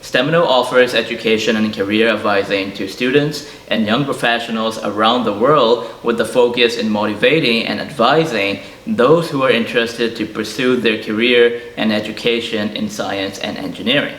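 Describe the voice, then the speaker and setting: A man's voice: on the low side, a bit bright, low-quality recording. A man hosting an online interview series, asking questions with frequent fillers. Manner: halting, many fillers. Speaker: host. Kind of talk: webinar interview.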